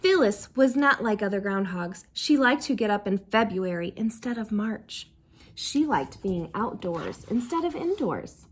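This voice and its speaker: A woman's voice: mid-range voice, animated, measured pace, a little hiss or hum. A woman reading a picture book aloud to children, expressively, as a teacher who puts questions to listeners. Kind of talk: animated storybook read-aloud with asides to listeners.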